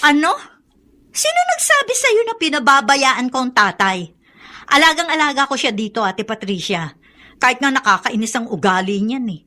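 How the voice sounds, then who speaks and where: A woman's voice: high voice, big pitch swings, normal speed, pushed and bright, exaggerated voice. A woman playing a harried but dutiful middle-aged Filipina daughter, protesting and explaining in a radio drama style. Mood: defensive, indignant.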